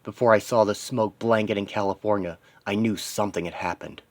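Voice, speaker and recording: Vengeful superhero, played by a male narrator: low, grieving, determined, terse monologue in a comic-book story. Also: fairly even intonation, fairly quick, lightly acted. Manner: terse, dramatic inner monologue in short fragments.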